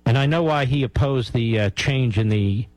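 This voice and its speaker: A man hosting a live call-in radio show, speaking in a deliberate, emphatic way. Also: mid-range voice, darkish tone, no echo, rough recording. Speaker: talk radio host. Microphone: close studio radio microphone.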